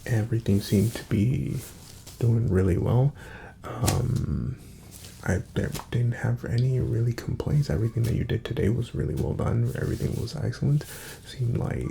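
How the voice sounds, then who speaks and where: A man speaking softly and breathily, often near a whisper, in a gentle, reassuring ASMR doctor roleplay. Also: medium pitch, a little hiss or hum. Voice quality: soft-spoken, breathy, often dropping to a whisper. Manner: gentle, reassuring, unhurried murmuring to the listener. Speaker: ASMR performer playing a doctor. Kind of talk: ASMR medical exam roleplay.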